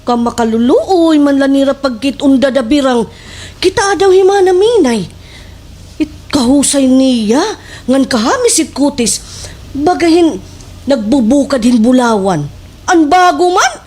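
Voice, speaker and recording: A woman plays a gossipy village sidekick in a slapstick radio comedy, speaking with a Waray accent. Her voice is high and swoops up and down as she eggs her friend on with exaggerated emphasis. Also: a little slow. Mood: gushing, catty. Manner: animated, exaggerated, emphatic storytelling.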